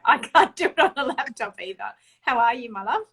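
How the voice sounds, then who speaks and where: A woman's voice: a little high, expressive, a little fast, fairly bright tone, husky. A woman, a fertility doctor, hosting a casual live-stream chat in a chatty, upbeat way with frequent laughs.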